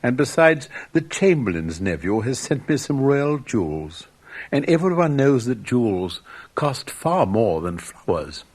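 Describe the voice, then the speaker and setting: A man's voice: medium pitch, big pitch swings, a little slow, darkish tone. A man voicing a vain, petulant, snobbish young girl in a storybook fairy tale over music. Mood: haughty. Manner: snobbish, indignant. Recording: music behind the voice.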